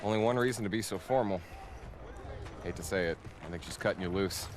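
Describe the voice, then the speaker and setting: A man's voice: sits low, brisk, background noise. A man playing a wry soldier teasing a comrade. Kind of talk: game cutscene banter.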